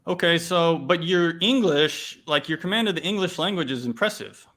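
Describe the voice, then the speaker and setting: A man hosts a relaxed interview, asking questions slowly and often pausing on "um" and "you know." His voice sits a little higher than most men's. The sound comes through a video call. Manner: hesitant, many fillers and restarts. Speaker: interview host. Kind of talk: casual interview.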